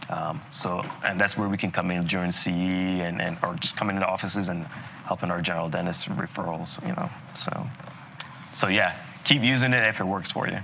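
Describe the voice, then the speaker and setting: A man from Barbados giving a live continuing-education lecture on dentistry, talking in a relaxed, conversational way with plenty of fillers and asides. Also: low-pitched, fairly quick, gravelly, a little hiss or hum. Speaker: dental lecturer.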